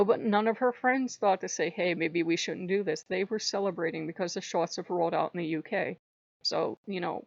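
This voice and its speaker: A slightly hoarse woman hosting her own channel, talking in an earnest, rambling way and repeating phrases for emphasis. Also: on the low side, dry recording, highs cut off. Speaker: channel host. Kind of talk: casual spiritual vlog monologue.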